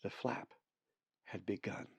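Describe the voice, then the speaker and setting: A man narrating an audiobook of his own story in a measured storyteller's manner. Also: no echo. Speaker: author reading own book.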